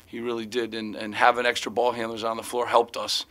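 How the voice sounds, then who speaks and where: Man, middle-aged, basketball coach, bright tone, table mic, rambling with fillers. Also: medium pitch, monotone, fairly quick, slight background noise. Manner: rambling, frequent "you know" fillers and restarts. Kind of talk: postgame press conference answers. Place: press room in front of sponsor backdrop. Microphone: table mic in front of him.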